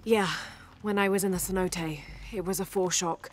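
An actress playing a brisk, determined young woman adventurer in a video game. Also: on the low side, steady tone, slight background noise, natural voice. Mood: focused, urgent.

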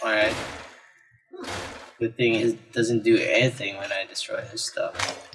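A man's voice: fairly low pitch, little pitch movement, unhurried, noisy background. A man giving casual gameplay commentary, exclaiming and reacting as he talks to himself. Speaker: let's play gamer. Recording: game music behind the voice. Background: video game music.

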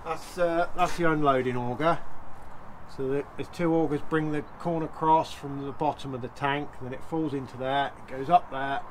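A man's voice: fairly high pitch, steady tone, a little hiss or hum. Farmer man explaining his combine. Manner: plain, practical, halting restarts. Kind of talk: casual explaining. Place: in a combine cab and outdoors in a farmyard.

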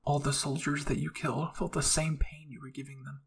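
A man voicing a husky, vengeful woman warrior. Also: on the high side, level tone, a little fast, full character voice. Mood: cold, vengeful. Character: vengeful, wounded young woman warrior, livid.

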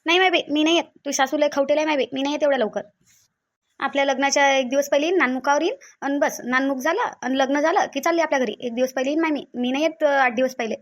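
A woman plays a fretful older village mother chatting away on the phone in a cartoon. Her voice is high and quick, with a Varhadi Marathi accent. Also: natural voice. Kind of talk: cartoon voice acting.

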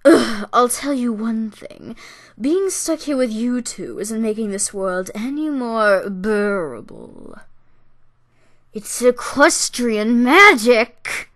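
A haughty cartoon villainess, acted by a woman: a teenage siren who schemes and mocks. Her drawl is slow and condescending, with a bright, sharp edge. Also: fairly high pitch, animated, no room sound, clean studio sound, exaggerated voice. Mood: scornful. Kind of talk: cartoon character voice reel.

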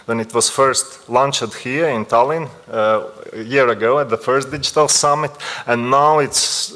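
Latvian-accented man giving measured panel remarks. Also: medium pitch, a bit bright, in a large hall. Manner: measured, frequent hesitations. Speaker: panel speaker. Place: conference room, seated at table. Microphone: table gooseneck mic.

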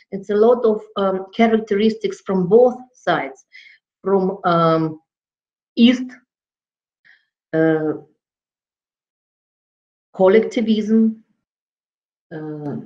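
A middle-aged woman with a Russian accent, giving a lecture over a video call from an office and often pausing to search for words. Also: mid-range voice, a little mellow. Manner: halting, searching for words with many fillers. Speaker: guest lecturer. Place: office with framed certificates on the wall. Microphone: laptop webcam on a video call. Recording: dull lo-fi video call audio.

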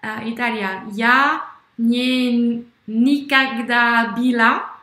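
Young french woman, super slow, lots of ums, bright voice, echoey video call. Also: on the high side, steady tone. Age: young adult. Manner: hesitant, searching for words, many fillers and self-corrections. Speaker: language-learning video host. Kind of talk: halting conversation in a learned language. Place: small room indoors. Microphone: red headphones, webcam video call.

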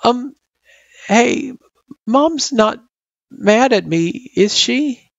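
Male reader as young girl, sweet and unsure, high halting voice, dry sound, for narrated fiction. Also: lively intonation, vocal fry, dry recording, highs cut off, exaggerated voice. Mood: worried. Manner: hesitant, halting, plaintive. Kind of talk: character voice in story narration.

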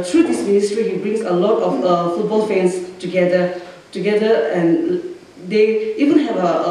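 A woman's voice: on the low side, warm tone, far from the mic. A young woman with a Northeast Indian accent giving an informal motivational speech as a guest, hesitating and searching for words. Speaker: guest speaker, youth and sports officer. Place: echoey indoor hall, on a stage. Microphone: microphone on a stand. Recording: very echoey hall, off-mic, faint noise.

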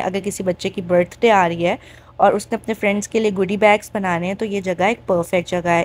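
A woman's voice: medium pitch, darkish tone. A woman giving a casual, chatty vlog voice-over of a shop tour, talking directly to viewers and mixing in English words. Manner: mixes English words into speech. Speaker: shopping vlogger.

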